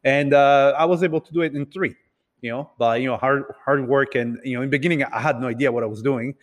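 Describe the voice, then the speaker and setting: A man's voice: medium pitch, steady tone. Man talking in a reverberant space. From Italy and several other countries. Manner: hesitant, halting delivery with repeated words. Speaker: podcast guest, entrepreneur. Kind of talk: casual interview storytelling. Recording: reverberant, hall-like room sound.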